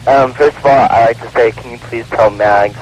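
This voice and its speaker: A man's voice: mid-range voice, steady tone, normal speed, darkish tone. A man with an American accent calling a radio show, chatting casually and hesitantly over the phone. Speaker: phone-in caller. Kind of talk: casual, hesitant fan chat. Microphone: telephone. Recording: phone call on noisy radio broadcast.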